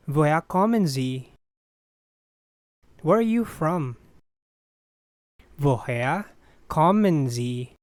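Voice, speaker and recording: Language teacher, extremely slow, deliberate phrase drill, dry close sound. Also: mid-range voice, no echo. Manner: measured, deliberate repetition of each phrase.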